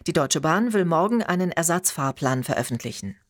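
A woman with a standard German accent anchors a radio news bulletin in a fairly low voice. Her reading is formal and factual. The sound is dry and close, with no room to it. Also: animated, a little fast, no echo.

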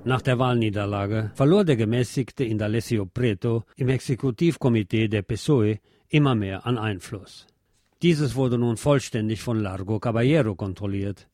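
A man reading a scripted history narration as narrator, in a measured documentary manner. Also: mid-range voice, darkish tone, no echo, clean studio sound.

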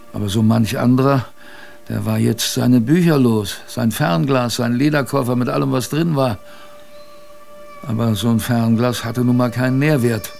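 An actor playing a plain-spoken seaman who recalls a polar shipwreck in a colloquial, halting way, as in a radio drama. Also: medium pitch, vocal fry, darkish tone. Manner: colloquial, halting, musing storyteller. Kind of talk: radio drama first-person narration.

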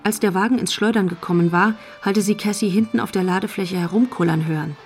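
A woman's voice: mid-range voice, fast, darkish tone, soft background music. A woman narrating a scripted radio drama in the third person.

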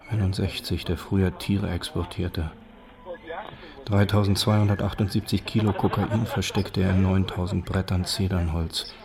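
A very low-voiced man reads documentary narration. His delivery is sober and factual, with a flat, level intonation. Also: vocal fry. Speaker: narrator reading documents and facts.

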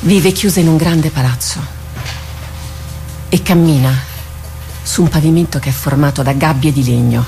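An actress playing a proud, seasoned woman warrior captain in a radio drama, wry and resolute, speaking formally and deliberately. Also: low-pitched, vocal fry, dark tone. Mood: brooding. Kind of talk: theatrical radio drama acting. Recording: studio radio drama with music underneath.

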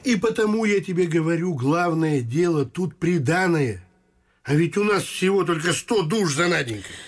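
A high-voiced, grumbling old-fashioned husband. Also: male voice, animated, normal speed, faint music behind. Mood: reasoning. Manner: grumbling, repetitive catchphrase, emphatic. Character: fussy, cautious middle-aged husband and father, provincial landowner. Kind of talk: theatrical radio vaudeville acting.

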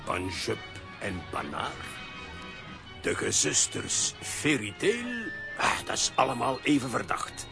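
An actor playing an eager, take-charge comic hero in a children's radio play. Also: male voice, medium pitch, wildly swooping pitch, slow pace, gravelly, music in the background, exaggerated voice. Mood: urgent, decisive.

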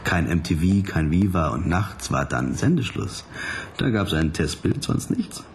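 Sardonic hardboiled detective narrator, radio play, really low voice, dry and bored. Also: male voice, slight background noise. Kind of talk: radio play first-person crime narration.

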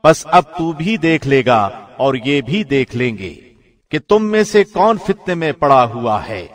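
A man reading a scripture translation from a script in a formal, measured radio-announcer manner. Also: fairly high pitch, sing-song, faint music behind. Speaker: translation reader, radio presenter.

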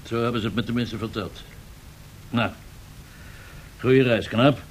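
A man's voice: medium pitch, fairly even intonation, a little slow, slight background noise, natural voice. A man playing a cool, sardonic hired killer in a radio drama, speaking with casual, mockingly polite menace. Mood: cool, offhand. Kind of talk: 1970s Dutch radio drama acting.

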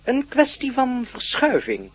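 A man's voice: very high voice, huge pitch swings, measured pace, breathy edge, full character voice, low-quality recording. A man playing a polite, candid alien in a radio play, speaking in a formal, matter-of-fact way. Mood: calm, explanatory. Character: polite, candid alien being observing humankind.

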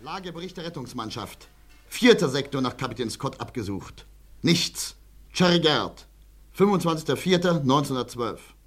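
An actor voicing a rescue team member reading a clipped, official status report. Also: male voice, mid-range voice, lively intonation, normal speed, slightly rough, soft background music. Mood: matter-of-fact.

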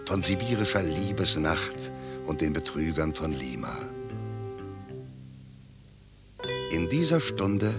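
An actor playing a wistful poet who delivers a lyrical, declamatory monologue in a radio drama. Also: male voice, extremely low pitch. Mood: musing. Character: wistful poet sending thoughts across the world.

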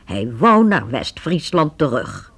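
A man narrating a radio play in a calm storytelling way. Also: mid-range voice, takes it slowly, creaky, a little hiss or hum. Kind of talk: scripted narration.

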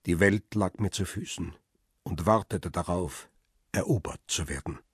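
A man narrating a crime radio play in the first person, calm and reflective, and speaking lines as the paparazzo protagonist. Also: sits low, a little slow, breathy edge, no room sound, studio quality.

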